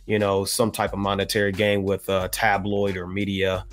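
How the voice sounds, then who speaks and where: An American man giving his opinion on a podcast panel in a measured, halting way with formal wording. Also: deep voice, completely flat delivery, faint music behind. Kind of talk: panel discussion, offering opinion. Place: indoor room on a video call.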